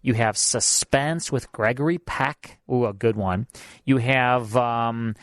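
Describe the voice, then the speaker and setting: Man, radio host, chatty with fillers, mid-pitched, dry studio sound. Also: takes it slowly, dry recording. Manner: chatty, repeats himself, fillers. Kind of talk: casual radio chat and promo read. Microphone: studio mic.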